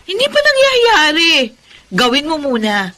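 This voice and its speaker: A brash, grasping woman who teases in a radio drama. Her voice is fairly high and piercingly bright. It swings widely in pitch. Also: wildly swooping pitch, unhurried, heavily acted. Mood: teasing, gleeful. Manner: brash, teasing. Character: teasing, greedy female friend betting on a seduction.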